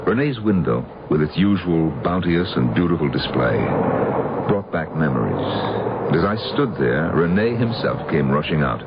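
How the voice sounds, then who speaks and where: Very deep guarded man in radio mystery. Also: sing-song, moderate pace, heavy background noise. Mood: brooding narration. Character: Larry, deep-voiced, guarded man pursuing his old love's killer. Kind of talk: radio mystery drama acting and first-person narration. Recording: dull old radio broadcast, music behind.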